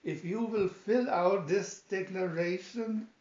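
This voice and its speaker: A man's voice: high-pitched, slow, a little mellow, echoey room, dull audio, pitched above the performer's own voice. A man voicing a formal, condescending and sneering Spanish military commander in a storybook. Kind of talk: storybook character reading.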